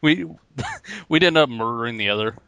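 A man's voice: medium pitch, expressive, normal speed, pushed and bright, clear, resonant tone, no echo. A man co-hosting a podcast in casual joking banter, laughing often. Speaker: podcast co-host. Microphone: podcast mic.

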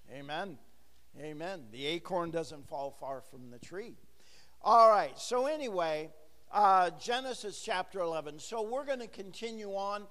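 A man's voice: high-pitched, fairly bright tone, in a large hall. A middle-aged man preaching a sermon as pastor, conversational and joking with the congregation, full of rhetorical questions, on a microphone in a church. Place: church sanctuary. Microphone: handheld or lapel microphone, recorded for a booth.

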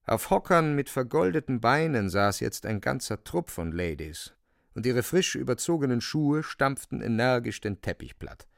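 A man's voice: mid-range voice, very animated, normal speed, gravelly, no room sound, studio quality. A man narrating a radio drama in a scripted, theatrical storytelling style.

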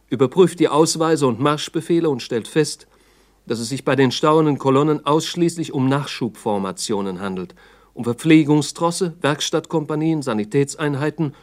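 A man narrating a 1960s radio play in a sober, factual, report-like style. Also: on the high side.

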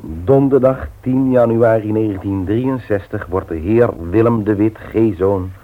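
Casting: male actor as ambitious young husband, low-leaning voice, playfully pompous, vintage radio drama, dull sound. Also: a little slow, lightly acted. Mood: mock solemn. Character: eager, ambitious husband and company secretary, affectionately teasing. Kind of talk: 1960s Dutch radio serial acting.

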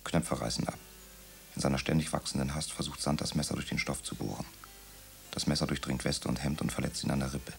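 A man narrating a radio drama in a calm, measured way. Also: rumbling low. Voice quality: gravelly.

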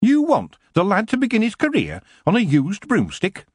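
A man voicing a fussy, haggling male dwarf broom maker in a storybook reading, with a husky voice. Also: high voice, huge pitch swings, normal speed, no room sound, studio quality, pitched above the performer's own voice, heavily acted. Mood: wheedling. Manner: haggling, complaining.

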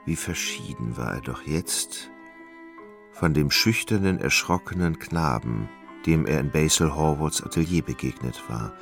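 Male narrator, low register, animated melody, measured literary storytelling over a musical bed. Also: creaky. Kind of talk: scripted literary narration in a radio drama. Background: music under the voice.

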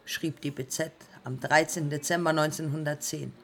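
An actress playing a defiant, haunted early woman pilot in a German radio play, speaking in terse, deliberate fragments. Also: low voice, fairly even intonation, gravelly, fairly bright tone. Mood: matter-of-fact, resolute. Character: pioneer woman aviator, haunted, defiant, morphine-addicted.

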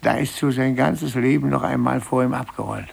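An actor in a 1950s German radio play, playing an older, humiliated office clerk with a gravelly, husky voice and a brooding, halting manner. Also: male voice, medium pitch. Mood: brooding, earnest.

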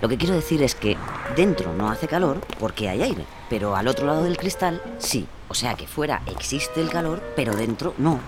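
An insistent female friend who argues her odd theories in colloquial audio comedy. Her Spain accent comes with animated swings of pitch, over prominent music. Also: moderate pace. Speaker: co-host and actor.

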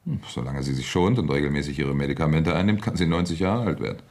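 A deep-voiced husband in a radio crime drama. He talks smoothly and evasively, with money and plotting underneath. The voice stays low. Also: expressive, fast, a little mellow, heavily acted. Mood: tense, scheming.

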